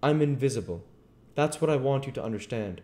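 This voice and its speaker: A raised, animated voice for an exasperated invisible man in a classic storybook, read by a man. He is commanding and impatient, stressing every point. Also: medium pitch, brisk, voice pushed up, lightly acted. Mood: impatient explaining. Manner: emphatic, insistent explaining.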